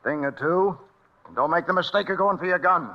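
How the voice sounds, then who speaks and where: A man's voice: high voice, lively intonation, brisk, a little hiss or hum. An American actor playing a smug, menacing outlaw gang leader who taunts his way through an old radio western. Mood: smug threatening. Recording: muffled old radio broadcast recording.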